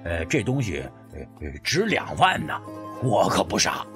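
A man's voice: deep voice, huge pitch swings, normal speed, music in the background, full character voice. A man playing a sly, sheepish rural man in an audiobook, stammering and wheedling as he angles for money. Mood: sly, eager. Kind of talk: acted audiobook dialogue.

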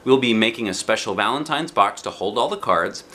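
A man's voice: on the low side, lively intonation, fairly quick, sharp tone, a little hiss or hum. Man as a restrained, patient woman teacher. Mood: explaining. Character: calm, patient adult woman kindergarten teacher.

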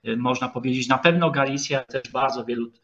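A man giving an online lecture with slides, speaking haltingly with frequent drawn-out filler sounds. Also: mid-range voice, a bit bright. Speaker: lecturer, historian. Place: online video call. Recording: dull, lo-fi video call audio.

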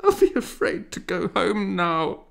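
A man's voice: sits high, wildly swooping pitch, unhurried, creaky, a bit bright, pitched above the performer's own voice, exaggerated voice. A man voicing superstitious, alarmed village women exclaiming in a storybook reading. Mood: frightened wailing. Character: frightened, superstitious village women gossiping at night. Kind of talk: acted storybook dialogue.

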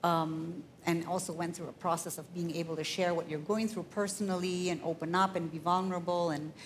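Low-voiced woman moderating a church panel. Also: fairly even intonation, brisk, a little hiss or hum. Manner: warm affirming, conversational with fillers. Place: large hall on a stage. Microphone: handheld mic.

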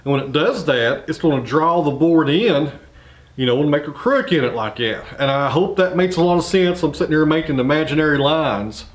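A man's voice: fairly high pitch, lively intonation, fairly quick. A man, explaining things in a folksy, rambling way with lots of fillers. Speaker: sawmill channel host. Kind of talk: casual explanatory vlog. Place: large open-sided sawmill shed. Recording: roomy echo in a shed.

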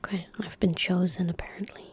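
A woman in her twenties speaking in a breathy, gentle whisper close to the mic, giving unhurried ASMR commentary as she plays a game. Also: lowish voice, little pitch movement. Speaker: ASMR gaming streamer. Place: indoor room at a desk. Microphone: close desk microphone.

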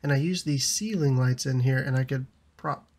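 A man in his thirties with a slightly raspy voice from a lingering cough, chatting casually over a building game and laughing often. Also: medium pitch, moderate pace, a little mellow. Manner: chatty, laughs often, frequent ums and restarts. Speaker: gaming YouTuber. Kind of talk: casual gameplay commentary.

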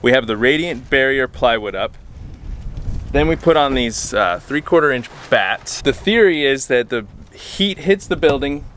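Relaxed man explaining outdoors on camera. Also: mid-range voice, slight background noise. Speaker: homestead builder, channel host. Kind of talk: casual on-site walkthrough. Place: outdoors beside a wall under construction. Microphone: handheld camera at arm's length.

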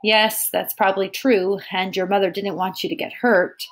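A woman voicing a gentle, thoughtful boy in an audiobook, with a soft, earnest way of talking. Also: medium pitch, flat delivery, moderate pace, natural voice. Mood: earnest, instructive. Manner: gentle, murmuring. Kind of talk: audiobook character voice.

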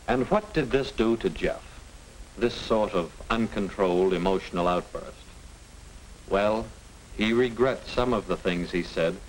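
A middle-aged American man reading scripted narration as a lecturer, didactic and deliberate. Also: mid-range voice, rough recording. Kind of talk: scripted educational film narration. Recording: hissy 1950 film soundtrack.

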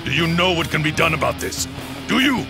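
A hot-blooded, boastful warlord for a game. His mid-pitched voice is gravelly and swings widely in pitch, commanding throughout, over prominent music. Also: very animated, fairly quick. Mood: brooding. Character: proud, fierce, hot-blooded warlord, devoted protector. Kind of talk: dramatic video game voice acting.

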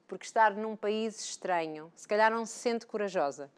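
A woman's voice: mid-range voice, normal speed, clean tone. A woman in her forties with a European Portuguese accent, hosting a TV talk show in a playful, encouraging way, recorded on a lapel mic. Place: bright TV studio, indoors.

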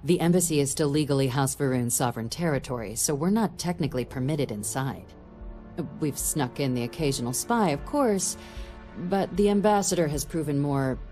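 An actress playing a composed woman diplomatic official in a game, giving a briefing in a measured, formal, dry way. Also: rumbling low, warm tone. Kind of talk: scripted game dialogue, mission briefing.

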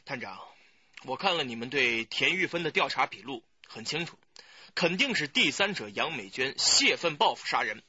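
A man's voice: mid-range voice, very animated, moderate pace, bright, forceful tone, slightly rough, no room sound, dull audio, full character voice. An actor playing a keen, emphatic, self-assured young male police detective in a radio crime drama. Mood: eager, certain.

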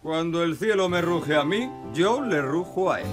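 An actor with a Castilian Spanish accent voicing a big, blustery, friendly lion in a children's cartoon, theatrical and emphatic. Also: male voice, a little high, animated, moderate pace, music in the background, lightly acted. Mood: defiant.

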